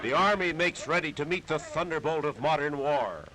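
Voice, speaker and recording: American male newsreel announcer, 1930s style, animated and declamatory, noisy archival sound. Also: fairly high pitch, normal speed. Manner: dramatic, declamatory delivery. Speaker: newsreel narrator.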